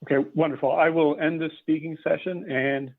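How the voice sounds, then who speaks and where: A man's voice: a little high, fairly even intonation, normal speed. An American man moderating phone callers at a public meeting, talking in polite procedural language without a script. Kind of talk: polite procedural talk, unscripted. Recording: thin phone-like audio.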